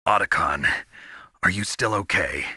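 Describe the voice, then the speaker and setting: Hardened game soldier, low husky rasp, terse, cynical, forceful edge. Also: male voice, measured pace, no echo, clean studio sound, full character voice. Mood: low, serious. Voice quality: gravelly, raspy. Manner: terse, gruff, blunt. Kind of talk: video game voice acting.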